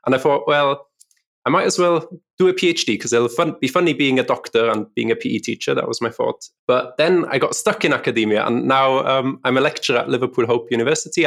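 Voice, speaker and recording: A man from Austria talking about his academic career as a guest on a podcast, in a relaxed, chatty way. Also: medium pitch, quick pace, vocal fry. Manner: relaxed, chatty, uses fillers like "kinda like". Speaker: guest lecturer. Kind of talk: podcast interview.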